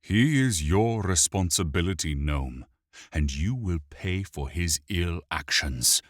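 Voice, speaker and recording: A man playing a tall, proud male elf prince who yells in stiff, formal speech, in a fantasy audiobook. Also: low-pitched, lively intonation, slow, no echo, clean studio sound, full character voice. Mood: stern. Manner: imperious, yelling, formal speech.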